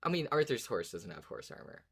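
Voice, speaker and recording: Casting: podcast co-host of unspecified sex, medium pitch, casual fan chat, enthusiastic, repeats phrases while thinking aloud. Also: very animated, a little fast, gravelly, a bit bright, husky. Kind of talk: casual podcast discussion of a fiction series.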